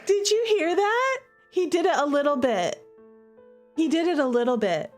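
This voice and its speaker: A woman with a slightly high voice hosts a casual game livestream. She is chatty and playful, talking straight to her viewers. Music plays behind her voice. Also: normal speed. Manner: playful, animated, chatty, addressing viewers directly. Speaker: streamer and visual novel creator hosting a playthrough. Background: music playing behind the voice.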